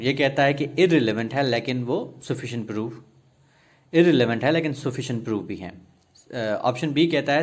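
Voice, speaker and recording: A man with a Pakistani accent teaching exam preparation, reading questions and options aloud and explaining them step by step while mixing in English terms. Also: medium pitch. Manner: code-switches into English legal terms, paraphrasing step by step. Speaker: law exam tutor.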